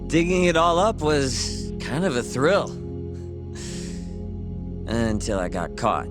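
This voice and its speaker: A man's voice: medium pitch, close to an everyday voice. Man acting a guarded young hacker, music behind. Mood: bitter. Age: young adult. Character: cool, self-assured young male hacker turned vigilante. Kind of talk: naturalistic video game dialogue.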